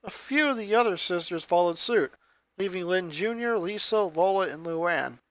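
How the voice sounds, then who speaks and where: A man's voice: high-pitched, expressive, normal speed, fairly bright tone, dry recording. A man reading a piece of fan fiction aloud as narrator, in scripted story style.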